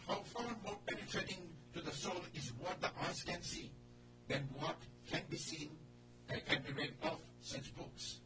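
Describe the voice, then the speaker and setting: A man reading out a written message in a declamatory, measured way. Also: on the high side, very noisy. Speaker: guest reader. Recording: loud music behind, distant mic.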